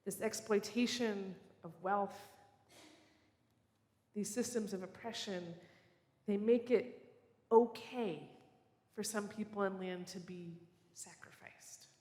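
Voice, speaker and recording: A preacher giving an earnest, measured and personal sermon in a church sanctuary, speaking as a guest. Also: female voice, mid-range voice, darkish tone. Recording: echoey church hall.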